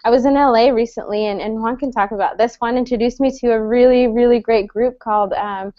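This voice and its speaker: A friendly woman in her thirties, originally from El Salvador, moderates an online panel with a slightly high voice. She talks chattily, full of fillers and restarts. The sound is dry, dull and lo-fi. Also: fairly even intonation, a little fast, no echo.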